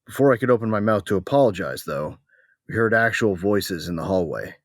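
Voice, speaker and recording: A man's voice: on the low side, animated, fairly quick, no room sound, studio quality. An actor playing a battle-hungry, protective soldier who narrates his own story in a sci-fi audio drama. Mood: grim, dry.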